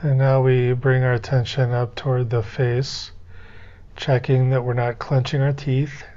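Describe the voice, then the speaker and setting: Male guided-meditation instructor, mid-pitched voice, gentle and unhurried delivery, somewhat muffled lo-fi sound. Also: flat delivery. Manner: gentle, unhurried step-by-step coaching with pauses.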